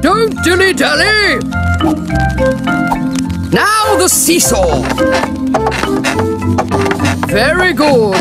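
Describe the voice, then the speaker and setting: A hearty, commanding elf schoolmistress from a children's cartoon. Her high voice swoops through orders and old-fashioned exclamations at an unhurried rate, over loud music. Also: big pitch swings, heavily acted. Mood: brisk, commanding. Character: jolly, bossy female elf pet-school teacher. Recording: music behind the voice.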